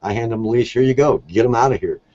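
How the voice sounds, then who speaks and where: A man's voice: mid-range voice, quick pace, dark tone, no room sound, muffled recording. A man talking casually as an interview guest, telling stories in a plain-spoken, earnest way. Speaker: podcast guest, shelter dog volunteer.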